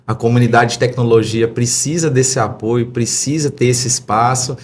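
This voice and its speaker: A man's voice: medium pitch, steady tone, normal speed. A man of about forty with a Brazilian accent from Minas Gerais, telling stories casually and effusively as an interview guest. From Belo Horizonte, Brazil. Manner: effusive, anecdotal, frequent tag questions. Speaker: podcast guest, tech entrepreneur.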